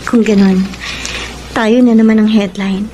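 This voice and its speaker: A woman's voice: medium pitch, steady tone, measured pace, warm tone, a little hiss or hum, natural voice, rough recording. An actress playing a devoted, self-blaming woman in a secret romance, tender and earnest, in a Filipino radio drama. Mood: hurt, supportive.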